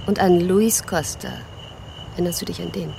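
A woman's voice: on the low side, moderate pace, creaky, background noise. An actress playing a frank, wry, teasing woman confiding in an old friend in a German radio drama.

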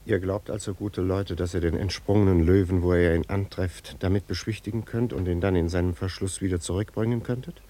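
An actor playing an authoritative, noble prince in a German radio drama, speaking in a measured, commanding manner. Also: male voice, low-pitched, fairly even intonation, warm tone, close to an everyday voice. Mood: grave, questioning.

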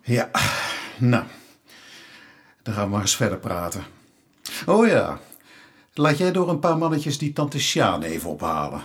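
A man with a Dutch accent playing a dutiful, sympathetic police inspector in a radio drama, measured and formal in his questioning. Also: fairly low pitch, sing-song, husky, full character voice. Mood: dismayed, resigned. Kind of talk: naturalistic radio drama acting.

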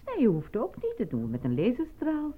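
A woman's voice: a little high, wildly swooping pitch, a little fast. A woman acting as a pragmatic, coaxing working wife in a radio drama, colloquial and down-to-earth. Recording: thin phone-like recording, faint background noise.

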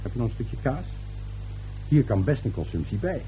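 A middle-aged family man in a radio drama, played by an actor, brusque and domineering. His voice is mellow and swings widely in pitch as he scoffs and waves things away. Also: big pitch swings, moderate pace, soft background music, lightly acted, poor audio. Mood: dismissive. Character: domineering, dismissive middle-aged father and husband. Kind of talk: radio play acting.

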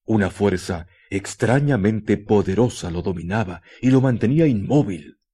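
A man narrating a scripted radio drama in a solemn, deliberate way, with dramatic pauses. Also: on the low side, lively intonation, mellow, husky.

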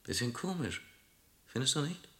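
A man's voice: mid-range voice, big pitch swings, normal speed, pushed and bright, husky, exaggerated voice. A man acting in a vintage crime radio play as a dry, persistent investigator, terse and understated in his questioning. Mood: pressing, terse. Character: dry, persistent male investigator probing a forgery. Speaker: radio drama actor.

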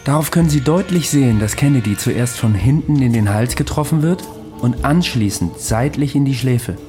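Male actor as cryptic immortal assassin, radio drama, calm, assured, faintly mocking, music behind. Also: medium pitch, moderate pace, lightly acted. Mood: calm, matter-of-fact. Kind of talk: German radio drama acting.

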